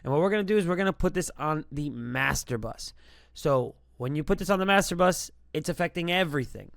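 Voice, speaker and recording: A man's voice: fairly high pitch, expressive, fairly quick, creaky, fairly bright tone, dry recording, studio quality. A man hosting a music production tutorial, explaining things in an informal, rambling, conversational way. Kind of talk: casual tutorial talk.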